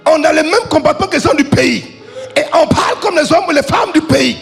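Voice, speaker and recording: A man pastor preaching a fiery sermon in a church, with chanted and shouted peaks, and questions he answers himself. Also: a little fast, creaky, soft background music. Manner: preaching with sung, shouted crescendos then dropping low; asks the congregation questions and answers them himself. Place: church service.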